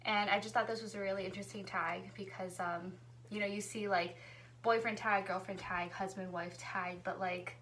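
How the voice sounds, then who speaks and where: A woman's voice: mid-range voice, fairly even intonation, moderate pace, a bit bright, a little hiss or hum, rough recording. A woman hosting a casual couple vlog, talking in an easygoing, chatty way and laughing often. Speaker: vlogger hosting a couple tag video with her fiancé. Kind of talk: casual chat.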